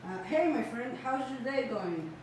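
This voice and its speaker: A woman's voice: a little high, animated, unhurried, a little mellow, very echoey. Casting: woman as small naive sea creature for puppet show, distant from the mic with faint noise. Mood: cheerful. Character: cheerful, naive little sea creature without a nose. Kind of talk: amateur puppet show acting.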